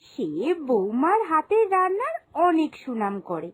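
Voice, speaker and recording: A woman's voice: high-pitched, wildly swooping pitch, measured pace. A woman narrating a scripted moral cartoon story as a storyteller.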